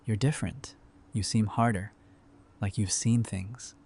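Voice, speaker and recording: Man acting a low-voiced, wry survivor. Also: fairly even intonation, a little slow, a little mellow, husky. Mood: curious. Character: easygoing, wry male survivor, friendly and pragmatic. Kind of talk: fan audio drama dialogue.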